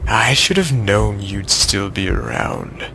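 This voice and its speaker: Arrogant vampire villain, male actor, low, bright cutting tone, mocking and theatrical, amateur audio drama with faint noise. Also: lively intonation, slow pace, full character voice. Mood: scornful. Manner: taunting, theatrical.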